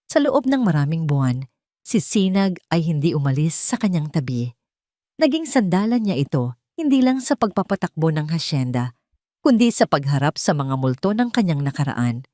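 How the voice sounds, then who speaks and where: A woman with a Filipino accent reads a scripted dramatic story in a measured way, with pauses for effect. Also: low voice, a little mellow, dry recording, studio quality. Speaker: narrator.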